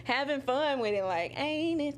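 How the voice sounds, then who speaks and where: Animated woman singer chatting, lively pitch. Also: slight background noise. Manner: animated, laughs often, acts out her own quotes; drawn-out fillers like "you know" and "um". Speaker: singer-songwriter guest. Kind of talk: casual interview chat.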